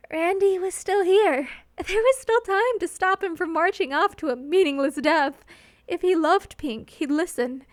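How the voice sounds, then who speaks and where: A woman reading fan fiction as a narrator, in a scripted, dramatic storytelling style. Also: high voice.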